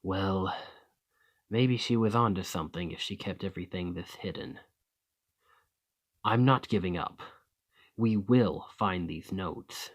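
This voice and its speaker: Guy doing a desperate old-world vampire gentleman for asmr, real slow and breathy, courtly and tired of living. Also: deep voice. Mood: focused. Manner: formal, courtly, old-fashioned phrasing. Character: desperate, weary immortal gentleman vampire of the 1820s. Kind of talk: ASMR roleplay acting.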